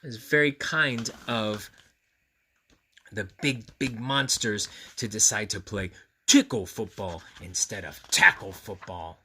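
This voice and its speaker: A man reading a storybook aloud to children, expressive and earnest, often speaking directly to his young listeners. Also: fairly low pitch, takes it slowly, slightly rough.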